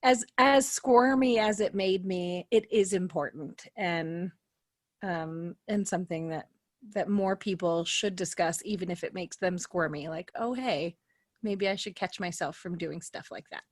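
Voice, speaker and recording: A woman's voice: on the low side, a little slow, no echo, studio quality. A woman on a podcast panel, talking casually and earnestly about personal views in a conversational way.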